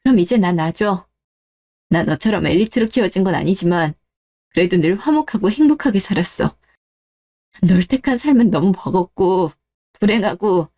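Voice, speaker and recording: A woman's voice: mid-range voice, expressive, normal speed, long pauses, dark tone. A woman playing a fed-up wife in a melodramatic radio drama, firm, cold and sarcastic. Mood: resolute. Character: cold, resolute, fed-up wife standing up for herself.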